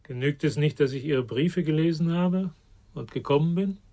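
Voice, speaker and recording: A probing male therapist in a radio crime play. His voice is a little higher than most men's. He questions patiently and with gentle insistence. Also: moderate pace. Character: patient, probing male psychotherapist turned amateur investigator. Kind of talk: German radio crime drama acting.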